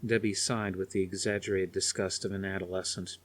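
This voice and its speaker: A man narrates a novel in a fairly low voice. The delivery is scripted audiobook storytelling, reading prose descriptions. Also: little pitch movement, brisk.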